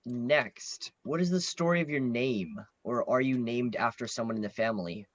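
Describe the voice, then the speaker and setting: A man's voice: medium pitch, moderate pace, dry recording. A young man conducts a casual interview in a laid-back, slightly hesitant manner. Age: teen or young adult. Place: online video call. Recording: dull lo-fi call audio.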